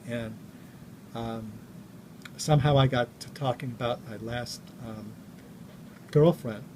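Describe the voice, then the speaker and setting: A man vlogging, telling a reflective personal story in a halting way, with restarts and trailing pauses. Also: mid-range voice, a little mellow, a little hiss or hum, low-quality recording.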